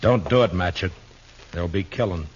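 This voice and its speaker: A muffled vintage radio Western features a man acting as a frontier marshal with a very deep voice. He is stern, weary and grave, using few, firm, plain words. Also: brisk, background noise, lightly acted. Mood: urgent warning. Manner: terse, firm, plain-spoken. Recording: muffled old radio recording with music.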